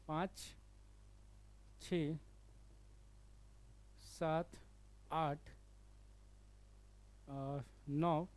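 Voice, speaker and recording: A man's voice: fairly high pitch, a little mellow. A man with an Indian accent teaching physics, working through a problem step by step and often checking in with the listener. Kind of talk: explanatory problem-solving lesson.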